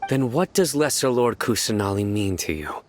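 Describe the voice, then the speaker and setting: A man's voice: fairly low pitch, lively intonation, normal speed, slight background noise, close to an everyday voice. A man voicing a terse, grim warrior. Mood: stern. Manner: terse, blunt. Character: stern terse male warrior, grim resolve. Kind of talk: video game cutscene.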